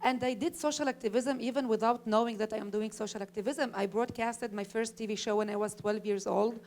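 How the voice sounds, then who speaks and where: A woman's voice: a little high, fairly even intonation, a little fast, a little mellow. A woman from Israel, a native Arabic speaker, giving an emphatic panel talk at a conference and repeating phrases for effect. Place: conference stage.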